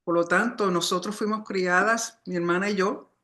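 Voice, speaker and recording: A woman's voice: medium pitch, fairly even intonation, moderate pace, a bit bright. A woman giving a religious study lecture in a didactic, explanatory manner. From Puerto Rican family, born outside the island. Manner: didactic, explanatory, often reminding listeners. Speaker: religious teacher.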